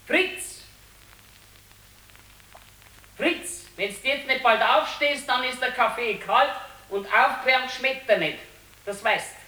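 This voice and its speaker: An actress playing an ageing, plain-spoken wife in a naturalistic radio play, with a Bavarian accent and a homely, matter-of-fact manner. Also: female voice, on the high side, measured pace, sharp tone, poor audio. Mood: nagging, scolding. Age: older. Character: plain-spoken ageing wife, former servant girl, nagging. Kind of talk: naturalistic radio drama acting.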